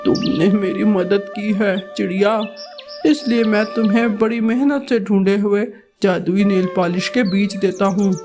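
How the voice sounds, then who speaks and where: A woman voicing a calm, grateful male vulture who speaks slowly and kindly in a cartoon, with music behind. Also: medium pitch, close to an everyday voice. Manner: slow, measured, kindly. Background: background music.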